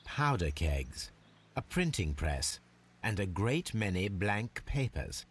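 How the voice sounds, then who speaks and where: Shrewd, low-voiced detective. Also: male voice, big pitch swings, slow pace, a little hiss or hum, full character voice. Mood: musing, deliberate. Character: shrewd detective posing undercover as a lockpick thief. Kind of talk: scripted video game acting.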